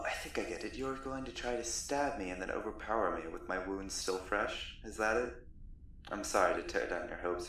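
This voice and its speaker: A genteel, gloomy vampire played by a man holds his captive in an ASMR roleplay, condescending and softly threatening. His low voice crackles with fry, set back from the mic in a very echoey space. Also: recorded from a distance. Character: courtly male vampire captor, gloomy, politely menacing. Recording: roomy echo, off the mic, faint noise.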